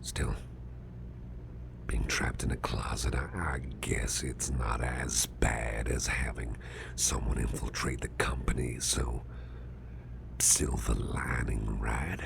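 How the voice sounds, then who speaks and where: A man playing a big, gentle, fretful businessman boss in an ASMR roleplay, murmuring haltingly in a growly, breathy half-whisper. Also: very deep voice, level tone, background noise, exaggerated voice. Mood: musing, wary. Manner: halting, murmured, soothing, with repeated phrases.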